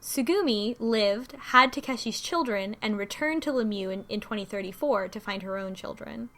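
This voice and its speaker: A woman's voice: medium pitch, lively intonation, normal speed, fairly bright tone. A woman casually recapping a visual novel on a podcast, with lots of ums and restarts. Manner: rambling, many ums and restarts, laughs often.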